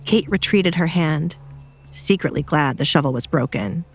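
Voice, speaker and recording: A woman's voice: low-pitched, animated, normal speed. A woman narrator reading a scripted romance drama.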